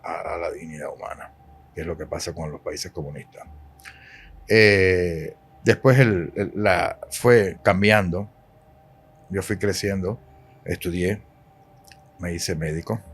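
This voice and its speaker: Cuban guy in his 40s, priest on a podcast, low voice, leans hard on words and says them twice. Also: slight background noise. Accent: Cuban Spanish. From Cuba. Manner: emphatic, preachy, repeats key words. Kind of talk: podcast interview.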